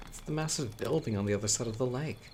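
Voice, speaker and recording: A man playing a chatty young storyteller with hidden menace in a horror audio roleplay, talking casually with wry asides and hesitant pauses. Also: medium pitch, darkish tone, slight background noise, lightly acted. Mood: casual, teasing.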